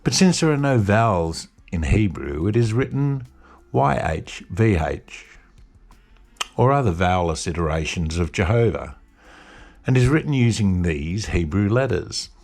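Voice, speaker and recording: A man giving a measured, scripted lecture-style narration as a podcast host. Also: lowish voice, very animated, creaky, a little mellow. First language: English.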